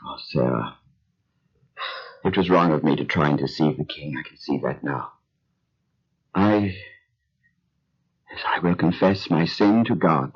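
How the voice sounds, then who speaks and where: An actor playing a humble patriarch who speaks earnestly and solemnly, in an old radio drama. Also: male voice, extremely low pitch, measured pace, pauses often, slightly rough, exaggerated voice. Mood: remorseful. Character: humble, remorseful male patriarch. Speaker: Abram. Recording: dull lo-fi old recording.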